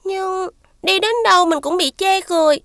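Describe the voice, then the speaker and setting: A woman's voice: very high voice, fairly even intonation, moderate pace, vocal fry, fairly bright tone, full character voice. A woman voicing a shy, earnest young schoolgirl who explains things carefully, in a children's cartoon. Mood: earnest, embarrassed.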